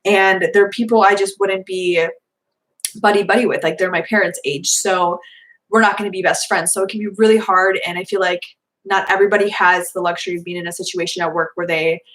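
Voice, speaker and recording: A young woman in her twenties chatting casually through viewer questions, rambling with lots of fillers, her voice slightly hoarse. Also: lowish voice, steady tone, brisk, a bit bright, poor audio. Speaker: vlogger answering viewer questions. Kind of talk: casual live Q&A chat.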